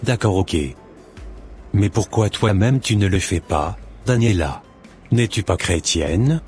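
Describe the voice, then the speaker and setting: A man playing a calm, questioning middle-aged husband in a cartoon, with casual delivery. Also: fairly low pitch, slow. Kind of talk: scripted cartoon dialogue. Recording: music under the voice.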